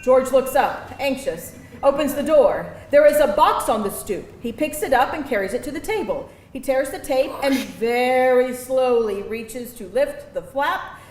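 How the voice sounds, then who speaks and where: High, animated woman narrating a screenplay. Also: measured pace. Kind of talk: scripted narration of stage directions at a live table read. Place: live event venue with audience. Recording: roomy live venue, faint background noise.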